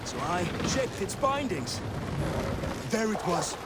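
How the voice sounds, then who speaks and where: Gravelly, dramatic Viking whaler. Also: male voice, high-pitched, sing-song, slow pace, exaggerated voice. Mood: awed, suspenseful. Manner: dramatic, earnest storytelling. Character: weathered male Viking whaler spinning a dramatic sea yarn. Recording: loud sea and boat noise.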